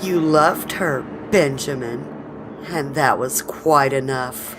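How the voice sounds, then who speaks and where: Woman acting a cruel, slow-talking madam. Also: very deep voice, background noise. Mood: taunting. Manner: drawn-out, coy and commanding. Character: cruel, vain, taunting madam who rules over a young man. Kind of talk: dramatized horror audio story.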